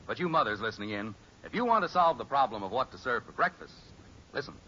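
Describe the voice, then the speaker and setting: A man's voice: mid-range voice, big pitch swings, fast, sharp tone. A man announcing a 1950s radio show with polished, breezy scripted narration. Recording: muffled, hissy old radio recording.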